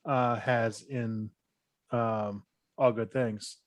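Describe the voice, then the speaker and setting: A man's voice: mid-range voice, fairly even intonation, very slow. A man co-hosting a podcast, talking casually in a panel chat about science fiction. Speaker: podcast co-host.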